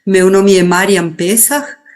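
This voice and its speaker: An Argentine woman with a native Spanish speaker's accent, answering interview questions. Her voice sits at an ordinary middle pitch. She talks in a halting, reflective way, often restarting and adding little tag questions. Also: fairly even intonation. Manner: hesitant, many fillers and restarts, tag questions. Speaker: interview guest, poet and activist.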